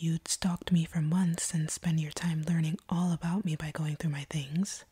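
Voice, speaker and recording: A woman playing a seductive, teasing, slightly sadistic vampire captor in an intimate audio roleplay, speaking in a breathy whisper close to the microphone. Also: sits low, mellow, no room sound, exaggerated voice. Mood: taunting, hungry. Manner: slow, teasing, predatory purr. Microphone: close microphone, dry.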